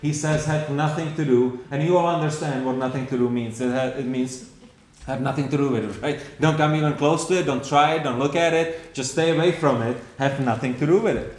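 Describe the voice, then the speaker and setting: A man's voice: medium pitch, fairly quick, darkish tone, echoey room. A man with a Czech accent preaching a sermon to a congregation, earnest and repetitive, with many rhetorical questions and restarts.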